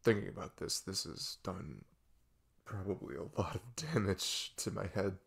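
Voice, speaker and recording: A man playing a depressed boyfriend opening up in an intimate ASMR roleplay, speaking haltingly and hushed, with a husky, gravelly, breathy voice. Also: low-pitched, expressive, full character voice. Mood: weary, confiding. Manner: halting, hushed, sighing, long pauses. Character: depressed, vulnerable boyfriend confiding long-hidden grief.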